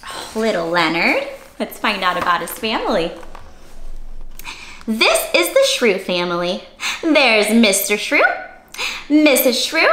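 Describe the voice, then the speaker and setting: A young woman with a high, bright voice reads interactively to small children. She takes her time and exaggerates her delivery, often asking the listeners questions. The sound is roomy, as in an echoey room at home. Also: slight background noise. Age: young adult. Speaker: children's read-aloud host. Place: small furnished room indoors.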